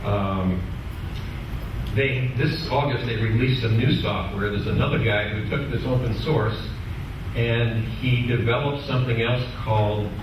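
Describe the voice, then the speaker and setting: A man giving an informal technical presentation to a club, explaining a software demonstration step by step in a halting way. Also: medium pitch, muffled recording. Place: indoor club meeting room. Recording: echoey room from far off, clearly noisy.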